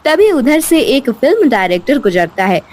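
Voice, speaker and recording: A woman narrating a scripted children's moral story in an expressive storytelling style. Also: sits high, normal speed, soft background music.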